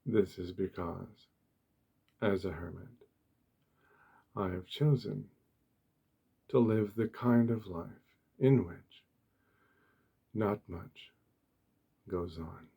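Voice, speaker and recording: Male ASMR host, soft and gentle, mellow and breathy, unhurried deliberate delivery for guided meditation. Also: low voice. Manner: gentle, deliberate, short phrases with pauses.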